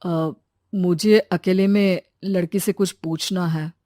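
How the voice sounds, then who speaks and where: Woman doing an earnest, lower-voiced young suitor. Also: fairly even intonation, slow, mellow, dry recording, clean studio sound. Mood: hesitant. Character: earnest young man seeking a bride, reasonable.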